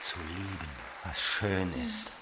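An actor playing a worldly, ardent seducer who scorns convention, speaking theatrically and insinuatingly with dramatic pauses in a radio play. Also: male voice, deep voice, fairly even intonation, heavy background noise. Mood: scornful, passionate. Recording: music and crowd behind the voice. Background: music and crowd.

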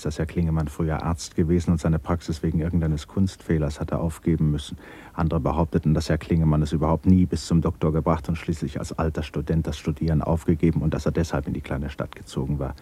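A man narrating a radio play in a measured, literary storytelling style. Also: extremely low pitch, mellow, slightly rough.

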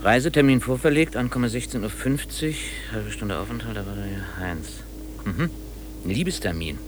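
An actor playing a harried, brusque but helpful chief engineer in a German radio play. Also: male voice, lowish voice, expressive, normal speed, background noise, close to an everyday voice.

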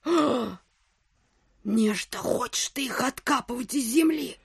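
A woman's voice: on the high side, animated, vocal fry, breathy edge, no room sound, clean studio sound, exaggerated voice. A woman acting an elderly, simple, fretful widow landowner in an audio drama, with a folksy, plaintive manner. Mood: baffled. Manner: folksy, plaintive, slow-witted.

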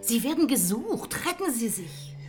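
A frightened, loyal country innkeeper in a radio drama. Her voice is high and swoops widely, breathy with alarm and urgency. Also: big pitch swings, normal speed, loud music behind the voice. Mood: alarmed, urgent.

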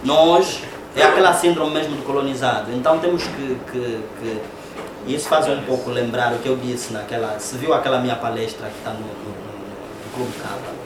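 A man's voice: medium pitch, fairly even intonation, normal speed. A man with an Angolan Portuguese accent giving a public lecture in a conversational way, often ending points with rhetorical tag questions. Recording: echoey room, distant mic, noisy.

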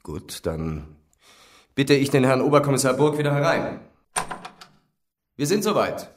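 An actor playing a self-assured, exacting defence lawyer in a radio play, speaking in a deliberate, precise and formal way. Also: male voice, mid-range voice, sing-song, a little hiss or hum. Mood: firm. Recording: off-mic, slightly distant room pickup.

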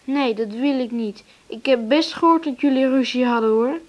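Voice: sits high, moderate pace, highs cut off, natural voice. A performer playing a sensible, bossy young son who is stuck in bed, matter-of-fact and precocious, in a radio play. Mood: stubborn. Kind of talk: naturalistic radio drama acting.

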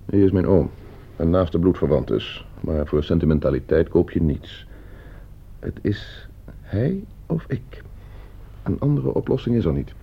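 An actor playing a coldly scheming gentleman heir in a radio play, suave, calculating and condescending. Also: low-pitched, very animated, unhurried, vocal fry, slightly rough, exaggerated voice. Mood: businesslike, menacing. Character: coldly scheming, broke gentleman heir plotting his uncle's murder. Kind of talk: Dutch radio play acting. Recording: muffled old radio play recording.

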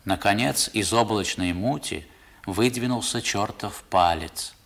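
Male narrator, low register, expressive and literary, looking back wistfully, old radio drama. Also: unhurried, creaky, heavily acted. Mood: reflective. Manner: expressive, theatrical storytelling. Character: man recalling his boyhood, wistful and dramatic. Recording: vintage 1960s radio recording.

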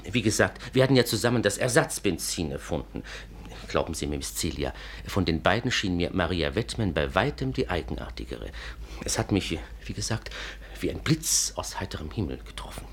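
An actor playing an anxious, courteous inventor in a 1950s German radio play, speaking formally and hesitantly in a husky, breathy voice. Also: male voice, low-pitched, big pitch swings, a little fast, slight background noise. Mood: earnest, troubled. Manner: formal, hesitant, repeats a pet phrase.

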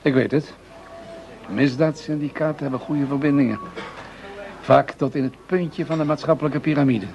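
A composed, pragmatic police chief played by a male radio actor with a creaky, gravelly voice. He speaks briefly and matter-of-factly. The radio play sounds dull and lo-fi. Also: on the high side, fairly even intonation, normal speed, pauses often, darkish tone, soft background music, close to an everyday voice. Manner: brief, matter-of-fact replies. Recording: dull, lo-fi radio drama recording.

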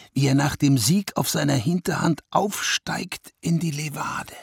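An actor playing a proud, brooding, weary seventeenth-century ruler in a radio play, pompous in manner, with a gravelly, husky voice. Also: male voice, on the high side, no room sound, clean studio sound, heavily acted. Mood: grandiose. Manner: pompous, slow, speaks in royal we. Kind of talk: German radio play monologue.

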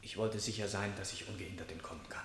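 An actor playing an anguished murder suspect in a radio play, pleading in an earnest, halting, brooding manner. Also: male voice, deep voice, steady tone, fairly bright tone. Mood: subdued, brooding. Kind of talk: German radio play acting. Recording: roomy, echoing studio radio play.

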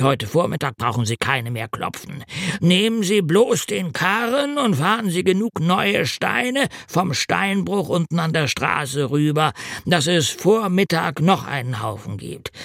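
A hungover wailing road worker. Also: male voice, fairly high pitch, creaky, a bit bright, no room sound, clean studio sound, voice pushed up, heavily acted. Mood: relieved. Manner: groaning, wailing, slurred complaints. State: drunk and sick. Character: hungover, whining older male road worker.

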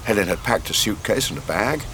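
Radio drama: regretful English doctor, low forceful voice, precise and formal, slightly noisy recording. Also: male voice, animated. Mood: grave recounting. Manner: measured, formal, explanatory.

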